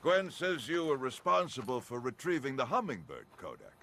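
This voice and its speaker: Highly animated company boss. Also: male voice, moderate pace, vocal fry, full character voice. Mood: brisk, approving. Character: smooth, no-nonsense male security company chief. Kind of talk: video game cutscene dialogue.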